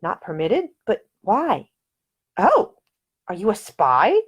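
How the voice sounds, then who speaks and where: Woman voicing a lively, quick-witted young lady. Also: medium pitch, slightly rough, dull audio, voice pushed up, full character voice. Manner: brisk, quick-witted, playfully dramatic. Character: spirited, witty young woman traveler.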